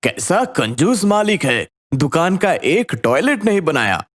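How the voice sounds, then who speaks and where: A man voicing a sneaky thief in an animated story, grumbling and melodramatic. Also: a little high, a little slow. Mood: grumbling, desperate.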